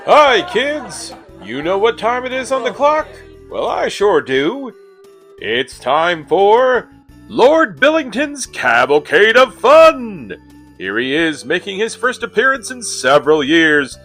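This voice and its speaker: Man playing an excitable high-voiced comic sidekick. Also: slow pace, faint music behind, heavily acted. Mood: hyped announcing. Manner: exaggerated, animated delivery. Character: excitable, skeptical guy turned comical show announcer. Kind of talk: radio play acting.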